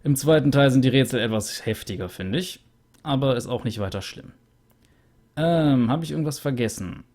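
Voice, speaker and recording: A man's voice: mid-range voice, animated, moderate pace, a little mellow. A young man hosting a let's play, chatting casually and a bit rambly as he sums up a game, recorded on a USB studio mic at home. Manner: chatty and rambling, with many fillers. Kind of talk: casual game wrap-up commentary. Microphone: USB studio microphone.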